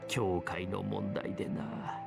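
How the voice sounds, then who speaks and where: A grave young student president spinning a ghost tale in an old storyteller's manner. The low voice moves in measured, shifting cadences that trail away, in dramatic visual novel style over clear background music. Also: male voice, flat delivery, exaggerated voice. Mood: grave, eerie.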